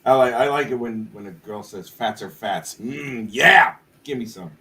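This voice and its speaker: A man's voice: mid-range voice, normal speed, sharp tone, far from the mic. A man bantering on a live art stream in a goofy, joking way.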